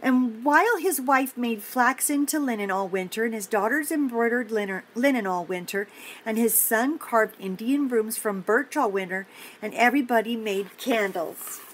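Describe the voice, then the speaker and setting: An older woman with a fairly high voice reads a children's story aloud. She is gentle and encouraging, slipping in chatty asides and questions for little listeners. Noticeable background noise runs behind her in the room. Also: moderate pace, poor audio. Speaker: children's story reader and host. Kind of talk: picture-book read-aloud with chatty asides to young children. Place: indoor home office.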